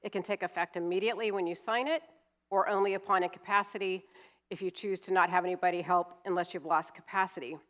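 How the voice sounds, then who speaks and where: A woman's voice: lowish voice, steady tone, fast talker, fairly bright tone. A woman in her fifties from California, an attorney giving a seminar talk with a cold, explaining plainly with some fillers. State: has a cold, sniffly. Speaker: estate planning attorney, guest speaker. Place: church hall. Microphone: handheld mic.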